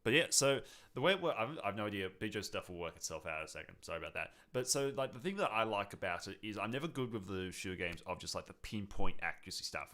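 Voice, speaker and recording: Bright-voiced man chatting about games. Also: deep voice. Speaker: podcast co-host. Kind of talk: casual chat about video games.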